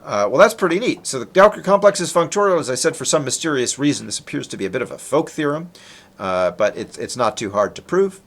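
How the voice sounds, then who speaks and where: A man's voice: mid-range voice, animated, fast talker, a bit bright. A man lecturing on technical material in an informal, conversational way, posing questions and then answering them himself. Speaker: lecturer, researcher presenting.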